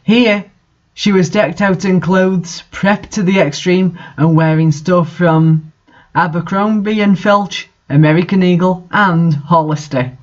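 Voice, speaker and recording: High-pitched man reading aloud theatrically. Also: fairly even intonation, unhurried, dull audio. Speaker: host reading fan fiction aloud. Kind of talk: dramatic reading with casual asides.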